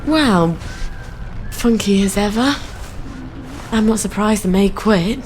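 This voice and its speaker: A woman's voice: medium pitch, takes it slowly, close to an everyday voice. Woman voicing a wry young Englishwoman. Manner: dry, wry asides. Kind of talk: video game dialogue. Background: game music.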